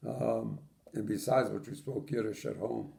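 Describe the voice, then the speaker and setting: A man's voice: on the low side, fairly even intonation, measured pace, creaky, mellow. An older man from Poland, telling his childhood memories in an oral history interview with dry, matter-of-fact humor.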